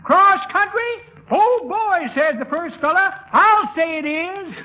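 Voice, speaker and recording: A man's voice: very high voice, slow pace. An actor playing a cheerful, heckling old man with a folksy storytelling manner in 1930s radio comedy. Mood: teasing. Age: older. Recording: noisy, muffled 1930s radio recording.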